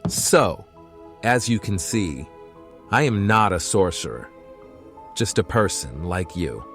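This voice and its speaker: A man playing a calm, patient mystic who explains things plainly in an audio drama. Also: deep voice, slow, faint music behind, full character voice. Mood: calm explaining.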